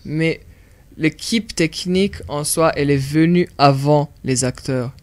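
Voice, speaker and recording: A man's voice: a little high, unhurried, smooth. Young Burundian man explaining filmmaking. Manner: explanatory, animated, repeats words while thinking. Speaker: film director and producer. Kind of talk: radio interview conversation.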